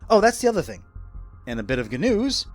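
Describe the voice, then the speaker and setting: A male actor plays an excitable, nervy sailor in a radio drama. He talks bluntly and colloquially, with doubtful edge and big swings in pitch, over a music bed. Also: quick pace. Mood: urgent, blunt.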